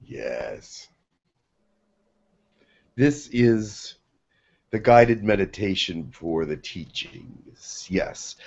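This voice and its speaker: A man speaking as a channeled being, slow and formal in his pronouncements. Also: fairly low pitch, big pitch swings, gravelly, darkish tone, highs cut off. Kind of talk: slow, solemn channeled speech.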